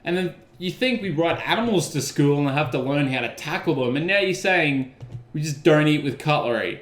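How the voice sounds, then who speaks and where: A man's voice: on the high side, animated, normal speed. A young man with an Australian accent answering questions in a sarcastic, exasperated comic vlog. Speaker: YouTuber. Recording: echoey room.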